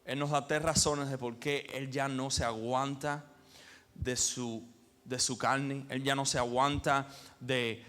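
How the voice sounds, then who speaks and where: A man from Cuba, preaching a sermon as pastor with emphatic swells and pauses. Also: mid-range voice, fairly even intonation, a bit bright.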